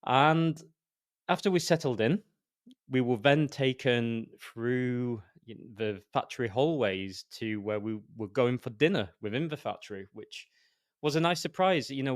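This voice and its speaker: A man's voice: medium pitch, unhurried, clean tone, no room sound, studio quality. A man with a Yorkshire accent, co-hosting a podcast and telling a rambling, enthusiastic travel story with frequent restarts. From northern England. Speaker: podcast co-host. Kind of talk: casual storytelling.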